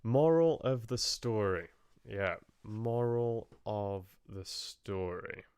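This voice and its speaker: A man's voice: fairly low pitch, takes it slowly, darkish tone, clear, resonant tone, dry recording. A man hosting a live book club, chatting casually in a rambling, jokey way about stories. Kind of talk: casual chat, musing on fairy tales with live chat.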